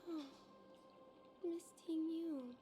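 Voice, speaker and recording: A woman's voice: very high-pitched, drawn-out words, warm tone, faint music behind, full character voice. A woman voicing an earnest, cheerful young female tea house owner in a video game, polite and heartfelt. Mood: tender, wistful.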